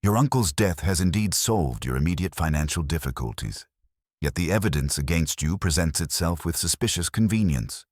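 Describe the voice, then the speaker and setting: A man reading the part of a fastidious detective, speaking in a precise, probing, formal way. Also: low-pitched, expressive, dry recording, clean studio sound. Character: fastidious Belgian male detective, middle-aged.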